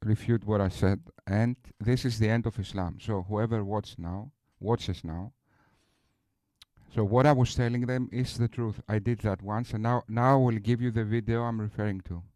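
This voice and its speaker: A man's voice: fairly low pitch, fairly even intonation, moderate pace, dark tone, dry recording. Boastful rambling man on a stream. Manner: boastful, rambling with many restarts. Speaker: streamer host. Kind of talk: casual live-stream talk.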